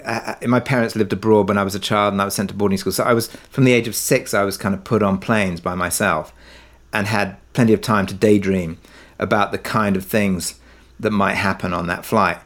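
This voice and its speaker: A man speaking as an interview guest, telling stories in a reflective, halting, thoughtful way. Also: lowish voice, steady tone, a bit bright. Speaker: interview guest, audio drama writer-director. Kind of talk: reflective conversational storytelling.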